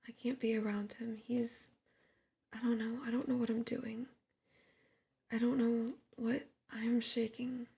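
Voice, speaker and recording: Whispered woman reading as a tearful young influencer, breathy, gravelly edges, pleading. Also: fairly high pitch, level tone, a little slow, dark tone, full character voice. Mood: desperate.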